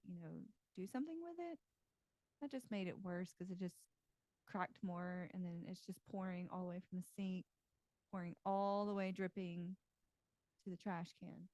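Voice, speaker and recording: A woman co-hosting a casual podcast chat, her voice a little hoarse from a recent cold, chatty and quick to laugh. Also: on the low side, darkish tone, dry recording, highs cut off. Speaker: podcast co-host. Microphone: home podcast mic, video recorded.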